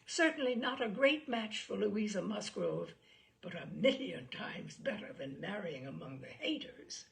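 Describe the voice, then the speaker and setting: A woman reading a classic novel aloud, voicing a self-pitying, gossipy young married gentlewoman with an animated, complaining manner. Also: mid-range voice, measured pace, gravelly. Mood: gossipy, smug.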